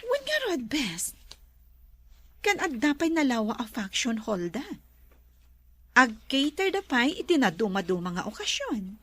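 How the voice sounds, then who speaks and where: A high-pitched, lively lady boss. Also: a little slow, long pauses, dry recording, heavily acted. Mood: admiring, dreamy. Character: lively lady boss of a farm resort, teasing. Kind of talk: radio drama acting.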